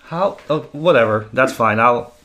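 A young man from Croatia, a native Croatian speaker, chatting casually in English as a podcast co-host, blunt and wry with quick asides. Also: medium pitch, animated, bright, forceful tone. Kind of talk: casual chat.

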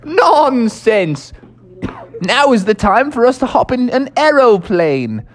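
A reckless old toad gentleman with a put-on lilt, boastful and exclaiming, as an adult storyteller voices him. The voice climbs high and strained above the teller's own, swinging wide in pitch. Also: very animated, slow pace. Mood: grand. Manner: grand, jolly, exclaiming. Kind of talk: improvised bedtime story.

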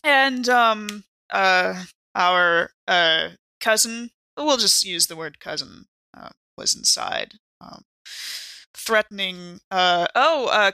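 A woman acts out a tipsy, exasperated investigator in a horror audio game. Her voice is bright and forward in a dry recording, and she talks in a wry, rambling, halting way. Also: fairly low pitch, gravelly, no echo, exaggerated voice. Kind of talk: improvised in-character actual-play roleplay.

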